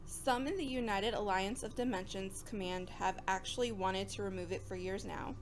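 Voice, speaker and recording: A woman playing a brisk, opinionated academy botany teacher in an audio drama, instructive and matter-of-fact. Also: mid-range voice, fairly even intonation, lightly acted. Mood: explaining.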